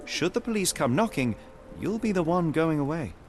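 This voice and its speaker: Game detective: British, self-assured, probing, measured, animated intonation, music underneath. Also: male voice, on the high side. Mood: pressing, stern. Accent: British English. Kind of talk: video game cutscene acting. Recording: music behind the voice.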